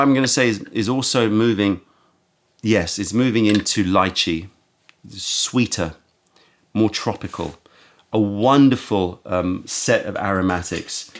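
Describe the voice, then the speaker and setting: Slow, deep-voiced man, croaky from waking. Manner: slow, musing, searching for words. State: croaky, just woken up. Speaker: tea shop host. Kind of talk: casual tea tasting narration.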